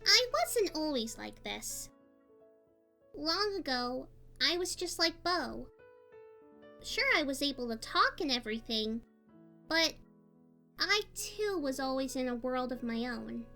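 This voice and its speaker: A woman voicing a cheerful, hyper young female party pony in a fan fiction audio story, girlish and expressive. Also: slow pace, vocal fry, pauses often, full character voice. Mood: gentle, reflective. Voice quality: high-pitched, girlish. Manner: bubbly, expressive. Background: music.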